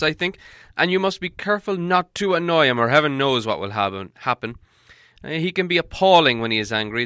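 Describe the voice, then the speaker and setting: Guy putting on a cranky old wizard, high and loud and scolding. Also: dry recording, clean studio sound. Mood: warning. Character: fussy old male wizard, cross and stern.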